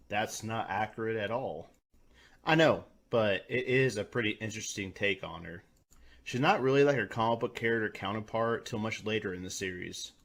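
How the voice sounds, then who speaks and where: A man's voice: on the low side, a bit bright. A man hosting a scripted video review, talking in a colloquial, mildly profane way. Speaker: reviewer, host.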